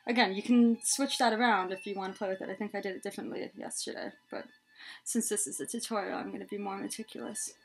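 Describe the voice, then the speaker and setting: A woman's voice: mid-range voice, steady tone, fast, low-quality recording. Chatty young woman giving a tutorial, music behind. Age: young adult. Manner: chatty, step-by-step explaining. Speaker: head scarf tutorial host. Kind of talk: casual hands-on tutorial. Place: small room indoors, plain wall.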